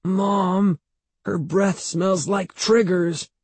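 A man's voice: a little high, very animated, drawn-out words, creaky, dark tone, breathy edge, no echo, voice pushed up, heavily acted. A man voicing an anxious, miserable young boy in a storybook, pleading and whining. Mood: miserable wailing. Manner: pleading, wailing.

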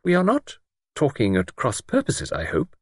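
A genteel elderly spinster, acted by a male narrator, in a high, lilting voice. She is prim and proper, anxious and hesitant, choosing her formal words with care, as in a storybook. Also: huge pitch swings, normal speed, breathy edge, no room sound, studio quality, exaggerated voice. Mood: hesitant, reserved. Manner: prim, careful, formal phrasing. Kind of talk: audiobook character voice.

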